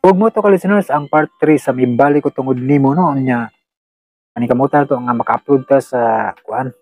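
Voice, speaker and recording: Male host, Cebuano Filipino accent, mid pitch, unscripted and halting, dry sound. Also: darkish tone, dry recording, soft background music. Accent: Filipino, Cebuano-speaking. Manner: halting, rambling, searching for words. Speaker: channel host. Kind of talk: unscripted casual chat to listeners.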